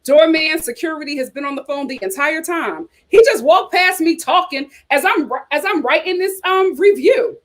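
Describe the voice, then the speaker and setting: A woman's voice: sits high, normal speed, few pauses, a bit bright. An American woman hosting a casual chat show, reading aloud and commenting with playful, teasing asides. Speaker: host of a reality TV chat show.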